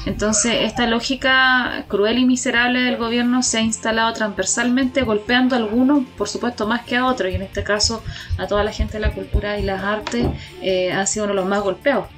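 A woman's voice: a little high, fairly even intonation, a little fast, a bit bright, faint music behind. A woman with a Chilean accent, co-hosting conversational commentary in an earnest, critical way, thinking aloud. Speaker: co-host.

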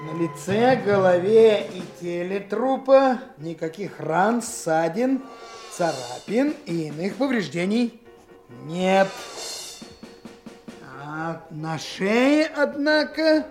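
A senior investigator from a Soviet radio drama. His voice is high for a man and slow-paced. He gives brisk, businesslike instructions and spells things out with patient authority. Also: animated, soft background music. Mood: official, deliberate. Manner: brisk, instructing, deliberate dictation. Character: brisk male senior criminal investigator, commanding but patient. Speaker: actor.

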